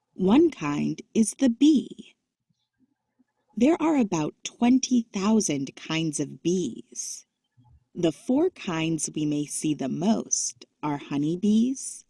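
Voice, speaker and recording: A woman with a lowish, soft-edged mellow voice narrates an educational children's book. She reads very slowly and carefully, with lively rises and falls, in a dry recording. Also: husky, no echo.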